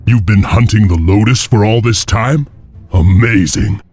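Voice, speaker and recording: Resolute clan chief for a fantasy game: low, solemn, commanding, music underneath. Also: male voice, unhurried, a little mellow, husky. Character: resolute male wolf clan leader, noble and stern. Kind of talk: video game voice acting.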